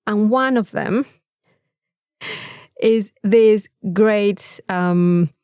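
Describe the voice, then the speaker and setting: A woman's voice: medium pitch, very slow, warm tone, smooth, dry recording, studio quality. A woman with a Mexican accent, co-hosting a casual podcast chat in an informal, rambling, sweary way. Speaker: podcast co-host.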